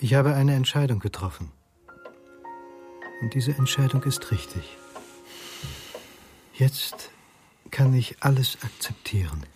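A man acting in a radio drama as a resolute letter writer confessing the truth, solemn and deliberate. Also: mid-range voice, lively intonation. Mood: solemn, resolved. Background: music.

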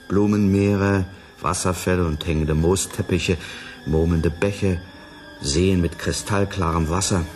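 Earnest male researcher, low voice, thoughtful and measured, 1980s radio play style. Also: darkish tone. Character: earnest, careful male planetary scientist. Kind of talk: 1980s German radio drama acting.

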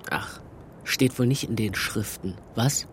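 A man's voice: mid-range voice, a little slow, slight background noise, natural voice. A man playing a defiant young captive in a German radio drama, talking in a mocking, colloquial way.

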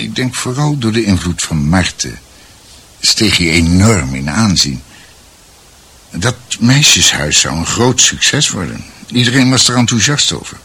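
Deep, slow-spoken storyteller. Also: male voice, sharp tone, slightly rough, full character voice. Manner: deliberate, searching for words. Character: thoughtful male storyteller recounting family history. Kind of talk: radio drama narration in conversational tone.

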